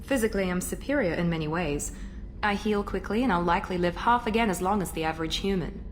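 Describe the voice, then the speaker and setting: Game role: woman as coolly businesslike female operative, self-assured, slightly low, faint background ambience. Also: fast talker, a little hiss or hum, natural voice. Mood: confident, businesslike. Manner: confident, matter-of-fact, formal. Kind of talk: video game dialogue acting.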